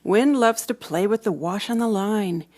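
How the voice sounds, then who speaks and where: A woman reading a storybook aloud for children, with dramatic emphasis and hushed moments. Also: mid-range voice, unhurried. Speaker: storybook reader. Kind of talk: expressive children's read-aloud narration.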